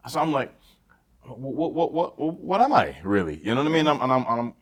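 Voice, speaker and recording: A man with a Caribbean accent tells stories in a casual podcast chat, his voice sitting in a middle pitch. He talks in an animated way, restarting and repeating words as he goes. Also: brisk. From Barbados. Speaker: podcast co-host.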